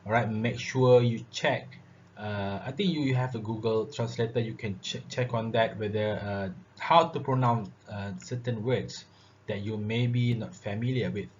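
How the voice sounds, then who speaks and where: A man's voice: lowish voice, steady tone, normal speed. A man giving a classroom lecture on public speaking, breaking his sentences with "uh", "okay" and "all right". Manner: filler-heavy, repeats "all right" and "okay". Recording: dull lo-fi recording with faint noise.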